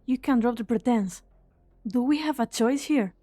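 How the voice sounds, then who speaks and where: A woman's voice: fairly high pitch, moderate pace, dark tone, no room sound, soft background music, natural voice. A woman playing a cool, guarded hostage doctor in an amateur game fan dub, with restrained, precise delivery.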